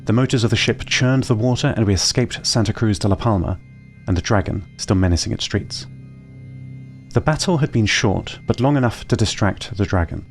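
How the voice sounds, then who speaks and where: A man tells a scripted audio drama in a calm, measured manner. His voice sits fairly low, and faint music plays quietly under his words. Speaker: narrator.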